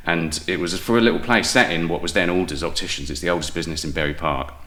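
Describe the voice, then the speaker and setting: Deep, bright-voiced British man telling stories. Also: fairly even intonation, quick pace. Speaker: guest playwright and audio drama maker. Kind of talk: casual enthusiastic interview storytelling.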